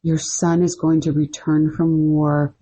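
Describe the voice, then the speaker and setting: A woman voicing a statue of the infant Jesus who speaks in a soft, hushed blessing. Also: deep voice, flat delivery, a little slow, breathy edge. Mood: tender.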